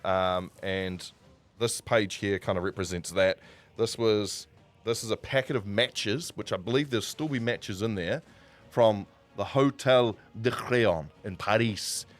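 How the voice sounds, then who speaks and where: Low-voiced New Zealand man telling stories. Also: measured pace. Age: 40s. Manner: rambling, conversational, self-correcting. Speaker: streamer and broadcaster. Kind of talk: casual live-stream storytelling.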